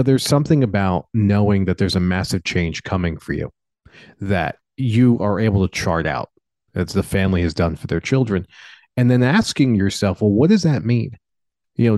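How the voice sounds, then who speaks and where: A man co-hosting a talk show, reflecting aloud in a thoughtful, hesitant way with many pauses and ums. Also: lowish voice, dark tone, dry recording, clean studio sound. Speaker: show co-host.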